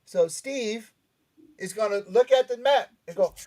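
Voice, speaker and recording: Male podcast host, fairly high voice, joking, rambling, excitable, repeats himself. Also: measured pace. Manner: rambling, repeats phrases for effect. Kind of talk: excitable, joking live show banter.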